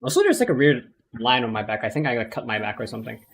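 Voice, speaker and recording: A man's voice: mid-range voice, big pitch swings, fast, husky. A man streaming a game, chatting casually and rambling with lots of fillers. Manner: rambling, many fillers and restarts. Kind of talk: casual gaming commentary.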